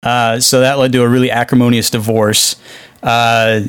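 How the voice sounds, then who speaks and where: A man's voice: mid-range voice, little pitch movement, normal speed, a bit bright, smooth, no echo. A man co-hosting a podcast, talking casually about movies in an informal, wryly amused way. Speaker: podcast co-host.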